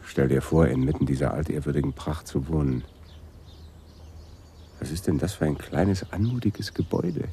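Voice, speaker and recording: An actor playing an observant, nature-loving police sergeant from Amsterdam in a German radio drama. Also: male voice, a bass voice, normal speed, long pauses, mellow, slight background noise. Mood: admiring.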